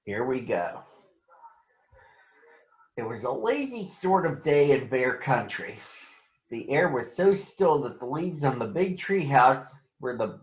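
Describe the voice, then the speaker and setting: An American man addressing children and reading a picture book aloud in a deliberate, announcer-like way. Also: medium pitch. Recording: echoey room, slightly dull sound.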